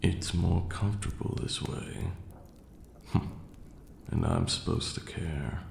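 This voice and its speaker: A man playing a stern, aloof vampire lord in an ASMR roleplay, speaking in a formal, haughty way with a gravelly, breathy near-whisper. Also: sits low, steady tone, a little mellow, heavily acted. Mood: grudging, exasperated. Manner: formal, haughty, slow scolding lecturing delivery. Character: stern aloof ancient male vampire lord, grudgingly caring. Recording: roomy echo, clear background noise.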